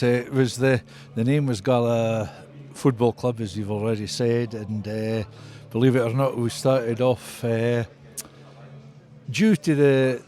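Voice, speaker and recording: A man's voice: mid-range voice, darkish tone. An older man with a Scottish Borders accent, speaking as a rugby club historian in a conversational interview, recounting history.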